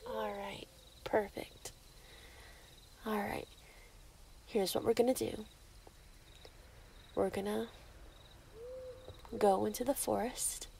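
A woman plays a lovesick, possessive female werewolf in an ASMR roleplay, slightly high and very drawn out. She coos and menaces in breathy half-whispers, with faint night insects behind her. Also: dark tone, slight background noise, exaggerated voice. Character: obsessive, possessive young female werewolf in love. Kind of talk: ASMR yandere roleplay, intimate.

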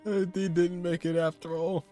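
A man's voice: sits high, flat delivery, measured pace, dark tone. A man in his twenties giving casual live reaction commentary as he streams a game. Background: game music.

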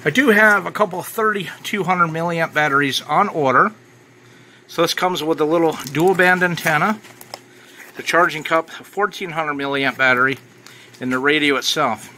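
A man's voice: fairly high pitch, moderate pace, bright, forceful tone, a little hiss or hum, low-quality recording. A man giving casual, off-the-cuff unboxing commentary as a product reviewer. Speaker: ham radio reviewer.

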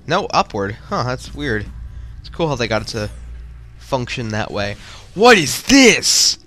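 A man giving casual, excitable, rambling commentary while playing through a video game. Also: medium pitch, a little slow, faint music behind. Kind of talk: casual let's-play commentary.

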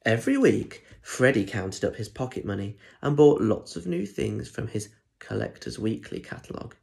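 A man's voice: fairly low pitch, animated, moderate pace, vocal fry, darkish tone. A young man with a British accent reading a children's picture book expressively, chatting directly to listeners with asides. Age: young adult. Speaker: primary school story reader. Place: small indoor room at home. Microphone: phone or tablet at arm's length.

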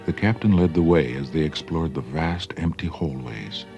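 A man's voice: rumbling low, normal speed, darkish tone, highs cut off. A man narrating a children's read-along story from a script. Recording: band-limited old record, music underneath.

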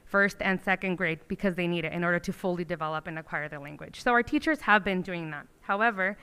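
A middle-aged woman presenting a school language program to a board in a meeting room, explaining in a measured, emphatic way. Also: fairly low pitch, pushed and bright, clear, resonant tone.

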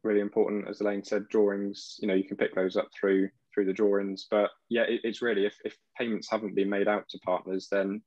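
A man's voice: lowish voice, little pitch movement, brisk, hardly any pauses, darkish tone, no echo. A young man, an accountant, answering questions in a professional video call, hesitant with many fillers and restarts. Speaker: accountant panelist. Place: home room. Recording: dull, lo-fi video-call audio.

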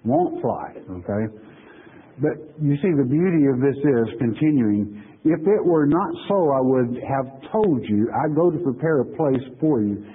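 A husky male preacher works through scripture in an easygoing, chatty way, lingering over each verse before he breaks it down. The sound is thin and phone-like. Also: medium pitch, lively intonation. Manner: folksy, conversational preaching with frequent "okay?" check-ins; reads verses slowly, then explains them plainly. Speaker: pastor. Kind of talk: sermon lecture on scripture.

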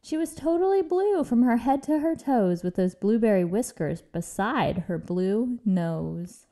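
A woman with a soft-edged, mellow and fairly high voice reads a children's picture book aloud. Her delivery is measured and expressive, with a sing-song feel for the rhymes. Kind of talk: read-aloud children's storytelling in verse.

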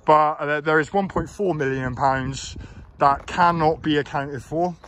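A man's voice: fairly high pitch, unhurried, a bit bright. A man walking through an outdoor park, explaining and criticising plans in a hesitant way with many fillers, recorded on a handheld phone. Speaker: local election candidate. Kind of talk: walking tour commentary. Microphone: phone camera held while walking. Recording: phone recording outdoors with faint background noise.

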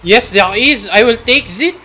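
Man gaming with friends, high voice, jokey put-on accent, light music under him. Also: lively intonation, unhurried. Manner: playfully fake put-on accent. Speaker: gamer. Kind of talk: casual co-op gaming chat.

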